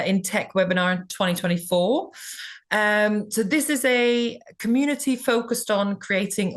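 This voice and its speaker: A woman recruiter hosting a webinar over a video call, friendly and with many fillers. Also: medium pitch.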